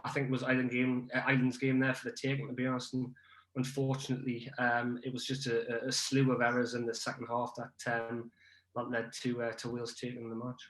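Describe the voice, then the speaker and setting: A man's voice: mid-range voice, completely flat delivery, quick pace, a bit bright. A young man chatting about rugby as a podcast guest, hesitant, with many ums and restarts. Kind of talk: casual podcast chat. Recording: echoey room.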